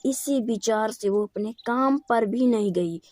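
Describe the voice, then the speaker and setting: A woman's voice: a little high, a little slow, darkish tone, dry recording, studio quality. A woman narrating a scripted children's moral story.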